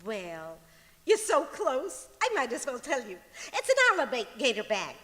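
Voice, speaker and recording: Woman acting high, chirpy, scatterbrained housewife, hall reverb. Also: wildly swooping pitch, measured pace, fairly bright tone, heavily acted. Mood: confiding. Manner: guileless, chirpy. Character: Gracie, sweet scatterbrained housewife, cheerfully illogical. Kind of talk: old-time radio comedy acting on stage. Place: stage of a performing arts auditorium. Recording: echoey auditorium with long reverb.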